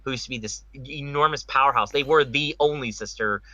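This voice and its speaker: A man's voice: medium pitch, fairly quick, pushed and bright, no room sound, dull audio. A man hosting a casual opinion podcast, speaking emphatically with rhetorical questions and repetition. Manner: emphatic, rhetorical, repeats himself. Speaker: podcast host. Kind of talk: opinionated casual panel discussion.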